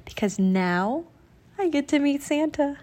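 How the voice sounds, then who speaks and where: Woman doing an excitable little girl. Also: sits high, unhurried, voice pushed up. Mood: earnest. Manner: bright, breathless exclaiming. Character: eager young girl, excitable and earnest.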